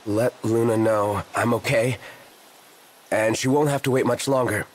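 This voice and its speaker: A man playing a reserved, quietly determined young prince in a video game, casual and understated. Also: mid-range voice, fairly even intonation, unhurried, close to an everyday voice. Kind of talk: video game cutscene acting.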